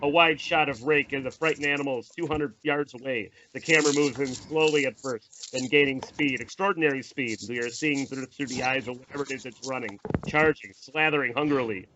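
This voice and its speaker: A man's voice: mid-range voice, a little fast, few pauses. A man reading screenplay stage directions as narrator, dramatic and emphatic. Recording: dull audio, faint noise and clicks.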